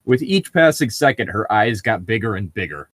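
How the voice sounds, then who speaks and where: A man's voice: fairly low pitch, lively intonation, normal speed, fairly bright tone, dry recording. A man chatting casually with friends and reading amateur fiction aloud in a sweary, exasperated way. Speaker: podcast host reading fan fiction with friends.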